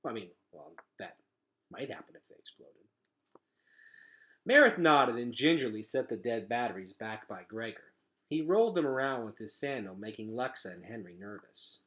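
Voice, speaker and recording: A man's voice: mid-range voice, lively intonation, normal speed, a bit bright. A man reading a storybook aloud expressively, like a teacher.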